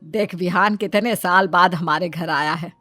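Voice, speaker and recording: Woman acting a elderly Indian mother-in-law, doting yet scolding, bright forceful voice, exaggerated exclamations, music behind, cartoon family drama. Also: lowish voice, a little slow, dry recording. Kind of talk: Hindi family story cartoon dialogue.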